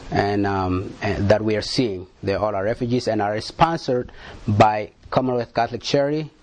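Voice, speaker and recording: A man answering questions on a panel in a halting way, often repeating words and restarting. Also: fairly low pitch, fairly even intonation, a little mellow. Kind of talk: panel discussion.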